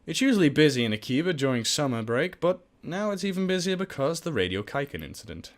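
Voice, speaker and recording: A man's voice: a little high, very animated, fast. A man hosting a let's play, reading game text aloud and breaking into jokey, exclamatory asides. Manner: jokey, exclamatory, swings between reading and goofy outbursts. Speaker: host of a game playthrough series.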